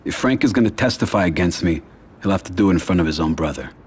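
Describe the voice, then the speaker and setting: A cold, husky-voiced mob boss. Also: male voice, lively intonation. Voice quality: low, quiet and husky. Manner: measured, controlled delivery. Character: calm, cold mafia boss man. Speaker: crime family head. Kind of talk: video game cutscene acting.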